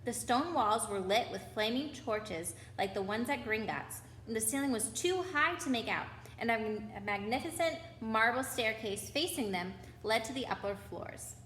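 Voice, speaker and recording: Higher-voiced woman reading to children, echoey room. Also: lively intonation, moderate pace, a bit bright. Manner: chatty asides to young listeners. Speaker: children's story reader. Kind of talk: read-aloud storytelling for children. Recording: echoey room with background noise.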